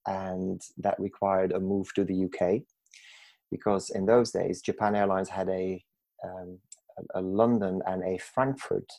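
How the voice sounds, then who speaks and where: A man in his forties from Belgium, telling his own story as a podcast guest in a reflective, measured way with some self-corrections. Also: lowish voice, warm tone, dry recording. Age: 40s. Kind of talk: reflective personal storytelling in an interview.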